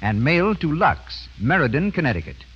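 A male American radio announcer from the 1930s with a husky edge and lively, swooping pitch. He sells formally and emphatically, every word carefully placed. The recording is muffled, like an old broadcast. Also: moderate pace.

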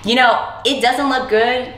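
A woman's voice: on the high side, fairly even intonation, a little slow, fairly bright tone. A young woman chatting casually about books while cooking at home, in a blunt, irreverent, slangy way. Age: young adult. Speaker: book reviewer and vlogger. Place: indoor home kitchen. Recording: roomy echo, clear background noise.

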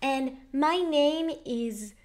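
A cutesy anime-girl voice, pitched high and sugary, as a young woman puts it on. It drawls slowly and trails off into giggly ums. The talk stays quiet, as in a room late at night. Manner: hesitant, giggly, trailing off into fillers.

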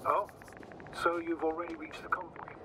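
A man playing a cautious, concerned space mission commander in a video game, dry and measured, heard through a radio. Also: fairly high pitch, monotone. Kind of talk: naturalistic video game voice acting. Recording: noisy radio link.

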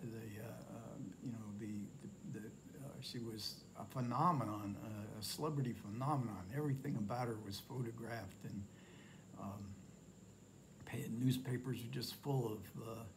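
An older man in his sixties talks through a film review from his living room, pausing often with fillers and restarting his sentences. His voice sits at a middle pitch. A faint room hiss sits under the webcam recording. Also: fairly even intonation. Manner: hesitant, many fillers and restarts. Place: home living room, indoors.

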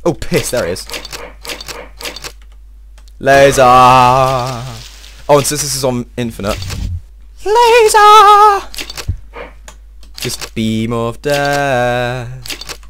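A young man giving casual, excitable let's-play commentary, swearing and talking to himself, his voice a little strained. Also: mid-range voice, slight background noise. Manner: excitable, swears often, talks to himself while playing. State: slightly strained voice. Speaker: gameplay video host.

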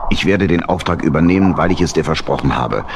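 Very low-voiced old badger captain. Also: male voice, lively intonation, fast, noisy background. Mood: worried. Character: good-hearted, cautious old badger uncle turned boat captain. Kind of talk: German children's radio play acting.

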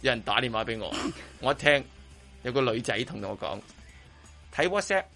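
A man's voice: medium pitch, sing-song, normal speed, pushed and bright, soft background music. A middle-aged man with a Hong Kong accent chatting casually as a radio host, rambling and repeating his phrases as he goes. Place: radio studio.

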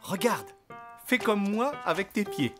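A man voicing a calm, kindly father donkey in a French preschool cartoon, patient and encouraging. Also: high-pitched, measured pace, music in the background.

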